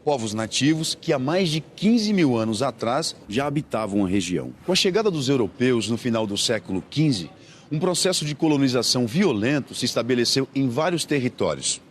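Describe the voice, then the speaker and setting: A man with a Brazilian Portuguese accent giving scripted documentary narration in a measured way. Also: mid-range voice, big pitch swings.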